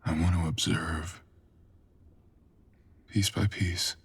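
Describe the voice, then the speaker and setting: A man playing a brooding, possessive, dangerous creature boyfriend in an intimate ASMR roleplay, husky and breathy, murmuring teasing commands. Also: sits low, steady tone, exaggerated voice. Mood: playful, coaxing. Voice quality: husky, breathy, often dropping to a whisper. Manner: slow, teasing, murmured commands with deliberate pauses.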